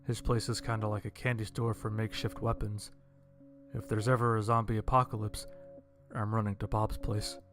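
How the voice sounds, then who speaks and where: A man's voice: lowish voice, level tone, normal speed, a bit bright, faint music behind. A man narrating a scripted first-person horror-comedy story in a dry, wry manner.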